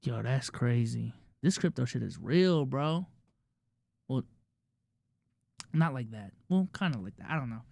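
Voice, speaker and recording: Casual streamer guy with a Filipino accent. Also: high-pitched. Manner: loose slangy talk with many restarts. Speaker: streamer and podcast host. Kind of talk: casual commentary to a live chat.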